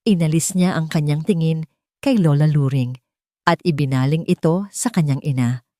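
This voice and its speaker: A woman's voice: low-pitched, moderate pace, mellow, dry recording, studio quality. A woman narrating an audiobook in a dramatic, scripted storytelling style.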